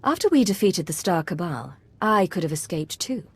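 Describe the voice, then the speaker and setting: A steely secret agent in a cinematic game. Her voice is husky and on the low side, with composed, direct delivery. She stays serious and controlled. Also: big pitch swings, moderate pace, exaggerated voice. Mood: composed, earnest. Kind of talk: cinematic video game dialogue.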